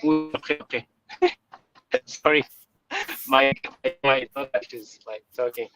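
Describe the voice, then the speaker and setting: A man's voice: medium pitch, slow, music in the background, poor audio. A man in his thirties from Rwanda whose first language is French, speaking as a business coach in a live interview, hesitant and repeating words. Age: 30s. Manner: hesitant, repeats words, slight grammar slips.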